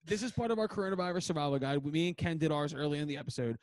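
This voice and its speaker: A man hosting a podcast, talking excitably and swearing casually. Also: fairly high pitch, rapid-fire, no room sound. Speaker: podcast host.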